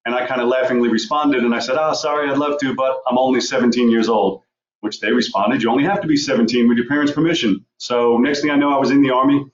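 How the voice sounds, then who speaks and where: A man from Long Island with a faint New York accent, giving a fast, informal webinar presentation over a video call. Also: medium pitch, fairly even intonation. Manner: fast-talking, informal and direct. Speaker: guest speaker. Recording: echoey, dull video call audio.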